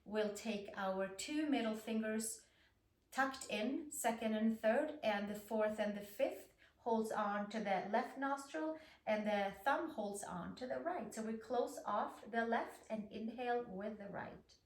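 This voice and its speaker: A woman with a Swedish accent gently guiding a yoga class, calm and soothing as she talks students through breathing. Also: fairly high pitch, measured pace. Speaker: yoga instructor. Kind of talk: guided yoga class instruction. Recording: roomy echo, distant mic.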